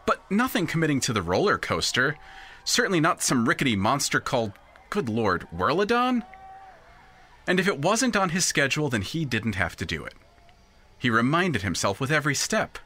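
Bright, animated, wry storyteller. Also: male voice, medium pitch, moderate pace. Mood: solemn, wry. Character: solemn, wry storyteller narrating an audio drama. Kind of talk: scripted narration.

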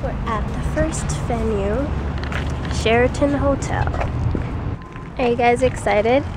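A young woman talks into a handheld camera, chatty and exclaiming at everything around her. Her voice is fairly high and she speaks slowly, as if she is losing it to a cold. Loud road and outdoor noise runs under her speech. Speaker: touring musician vlogger. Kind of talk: casual handheld vlog. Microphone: handheld camera mic.

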